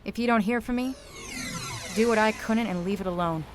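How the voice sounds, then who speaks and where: A woman playing a hard-boiled, world-weary private eye in a noir audio drama, terse, wry and deadpan. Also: medium pitch, fairly quick, music in the background, close to an everyday voice.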